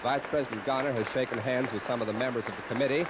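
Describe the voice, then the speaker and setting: American radio announcer over roaring crowd noise. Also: male voice, mid-range voice, steady tone, racing. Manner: formal, descriptive. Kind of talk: live 1930s radio commentary. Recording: muffled, very noisy 1939 radio, loud crowd. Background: loud crowd ovation.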